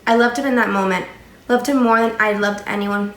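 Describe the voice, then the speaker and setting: A woman's voice: mid-range voice, fairly even intonation, brisk, fairly bright tone. A woman reading scripted dramatic narration for readers' theatre. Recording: distant, echoey, faintly noisy room.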